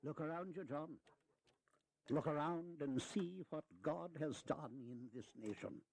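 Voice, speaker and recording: A leisurely, high-voiced, witty old statesman. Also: unhurried, vocal fry, darkish tone. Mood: earnest, rhapsodic. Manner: theatrical, leisurely, teasing. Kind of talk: historical audio drama acting.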